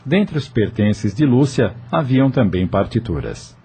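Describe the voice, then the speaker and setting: A man's voice: medium pitch, very animated, measured pace, dark tone. A man narrating a dramatic audio novel as a scripted storyteller. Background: background music.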